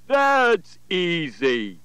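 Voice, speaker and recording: Gentle, courteous male dinosaur for a storybook, read by a man, raised pitch, unusual timbre. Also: huge pitch swings, very slow, smooth, dry recording, full character voice. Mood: friendly. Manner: polite, cheerful.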